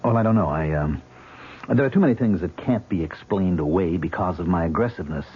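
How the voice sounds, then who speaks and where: A man's voice: low-pitched, fairly quick, slight background noise, lightly acted. An actor playing a tormented, aggressive man haunted by a voice, in an intense old-time radio drama style, on a muffled recording. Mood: confused, troubled.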